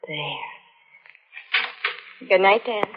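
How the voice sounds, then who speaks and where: An actress playing a solicitous young fiancée in an old radio drama, earnest and soothing as she gently probes. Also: medium pitch, lively intonation, drawn-out words. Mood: gently probing. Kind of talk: 1940s radio drama acting. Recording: muffled, faintly noisy old radio recording.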